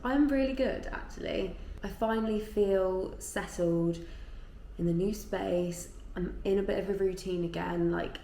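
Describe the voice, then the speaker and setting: Creaky-voiced young woman, echoey room. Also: medium pitch, warm tone. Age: 20s. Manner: chatty, informal, frequent "like". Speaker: vlogger answering viewer questions. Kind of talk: casual chat to camera, Q&A. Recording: echoey room with faint background noise.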